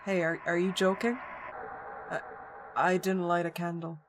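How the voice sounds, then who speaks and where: A woman's voice: on the low side, level tone, measured pace, background noise, close to an everyday voice. A woman reading as a frightened young girl. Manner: shaky, stammering.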